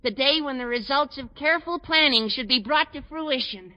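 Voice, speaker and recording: Squawky, high-pitched teenage schoolboy. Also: male voice, moderate pace. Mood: eager. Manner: gushing, mock-grandiose. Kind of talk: old-time radio sitcom acting. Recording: dull 1940s radio recording.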